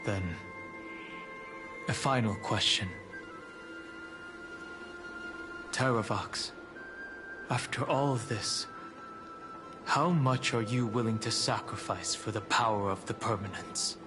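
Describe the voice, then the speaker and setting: A man voices an immortal male dragon scion for a fantasy video game. He is fairly low and slow, with stately, measured phrasing and steady resolve. Music plays clearly behind him. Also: lightly acted. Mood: cool, probing. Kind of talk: scripted video game voice acting.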